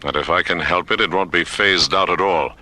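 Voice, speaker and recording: An actor playing a stubborn, combative official, arguing insistently in a science fiction radio drama. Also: male voice, low voice, a little fast, heavily acted. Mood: insistent, suspicious. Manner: insistent, argumentative, forceful. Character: stubborn, combative male official hungry for weapons.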